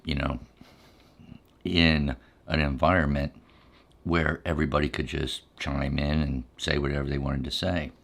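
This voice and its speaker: A middle-aged man telling casual stories in an ASMR style, unhurried and halting as he reminisces. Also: a bass voice. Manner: unhurried, halting, reflective recollection. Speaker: ASMR storyteller. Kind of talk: ASMR casual storytelling while eating.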